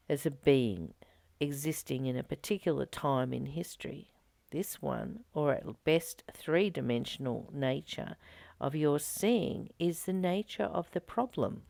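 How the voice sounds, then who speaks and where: Low gravelly woman reading spiritual stuff, soft, slips into whispers, dry sound. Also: no room sound. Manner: soft, drops into whispers to stress a point. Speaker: spiritual teacher reading and commenting on a text. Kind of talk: slow meditative reading with commentary.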